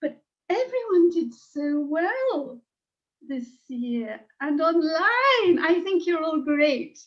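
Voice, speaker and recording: A woman's voice: very high-pitched, sing-song, slow, dull audio. A woman with a standard British accent giving a contest judge's comments, emotional and rambling, laughing often. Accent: standard British English. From Britain. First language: English.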